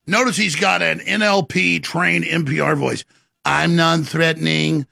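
A man's voice: fairly high pitch, normal speed, creaky, bright, forceful tone, slightly rough, dry recording, clean studio sound. A man hosting a political commentary show, ranting in a heated, sarcastic way. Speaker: host.

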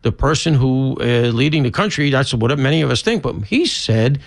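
A man's voice: medium pitch, expressive, normal speed, no echo. A male radio host interviewing a guest on a political talk show, chatty and opinionated.

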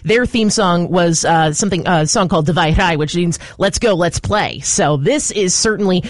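Woman on local radio news, chatty and lively, ukrainian first language, dry close mic. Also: fairly low pitch, moderate pace, no room sound. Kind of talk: casual radio news chat. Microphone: studio microphone.

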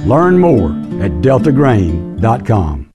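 A man's voice: lowish voice, big pitch swings, takes it slowly, loud music behind the voice. A man reading a radio advertisement in an earnest corporate tone. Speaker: ad announcer. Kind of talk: radio ad read.

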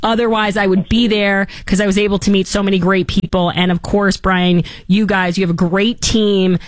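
A woman's voice: medium pitch, flat delivery, moderate pace, no room sound. A woman hosting a talk radio show, upbeat and promotional, interviewing a caller. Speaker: radio host.

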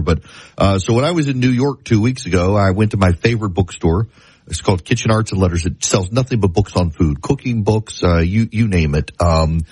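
A male radio host with a low, husky voice, rambling through a casual monologue while stuffed up from a cold. He often breaks off and restarts his sentences. The sound is dry and close to the mic. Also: dry recording. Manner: rambling, self-interrupting with restarts. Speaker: radio talk show host.

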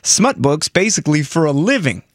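Brief: young male morning-show host, bouncy pitch, dry studio sound. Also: dry recording. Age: young adult. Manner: jokey, animated, quick. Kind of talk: morning radio banter.

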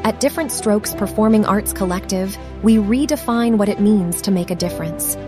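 A woman's voice: medium pitch, moderate pace. A woman reading a scripted radio ad in a polished announcer voice. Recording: music bed behind.

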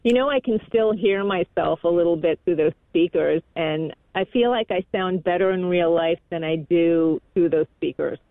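A man calling in to a radio show, self-conscious about his nasal voice and quick to laugh. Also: sits high, dry recording. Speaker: caller. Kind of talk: casual phone chat. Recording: tinny phone line.

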